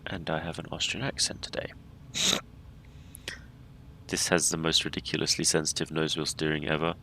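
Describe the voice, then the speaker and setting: A man's voice: extremely low pitch, level tone, moderate pace, bright, forceful tone, slight background noise. A man giving casual running commentary while flying a simulated plane, calling out checklist steps with playful asides. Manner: talks through checklists and landings step by step, playful asides. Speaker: flight simulator streamer.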